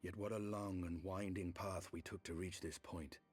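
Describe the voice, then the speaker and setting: A deep, husky knight, grave and battle-worn. He speaks in measured, archaic formal phrases as in a fantasy game cutscene, with faint music behind him. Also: steady tone. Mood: grave, reflective.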